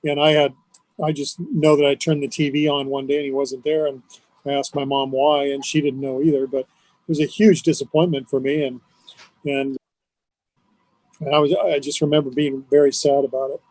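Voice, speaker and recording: A man's voice: medium pitch, flat delivery, moderate pace, darkish tone, no echo, poor audio. An older man who works as a radio disc jockey, reminiscing conversationally as an interview guest.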